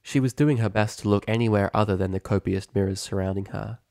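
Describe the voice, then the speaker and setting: A man's voice: fairly low pitch, fairly even intonation, fast, gravelly, darkish tone, dry recording, studio quality. A man reading a story aloud as a scripted narrator. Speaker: fan fiction reader.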